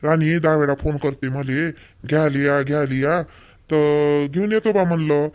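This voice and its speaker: A man's voice: on the high side, fairly even intonation, normal speed, a little mellow, smooth, natural voice. A man voicing an opinionated, protective young married son in a village cartoon, speaking emphatically in colloquial Vidarbha Marathi. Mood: concerned. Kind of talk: homemade cartoon family dialogue.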